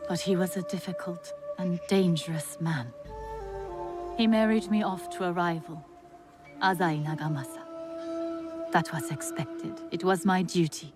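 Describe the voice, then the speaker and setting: A woman's voice: fairly low pitch, fairly even intonation, measured pace, long pauses, over music, close to an everyday voice. An actress playing a gracious, dignified noblewoman of feudal Japan in a video game, formal and composed. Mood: wistful. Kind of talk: video game cutscene acting.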